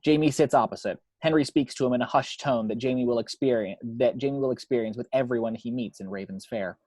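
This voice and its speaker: A man's voice: mid-range voice, quick pace, darkish tone, dry recording. A man reading screenplay action and scene headings as narrator for a table read at home. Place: at home, dry close recording.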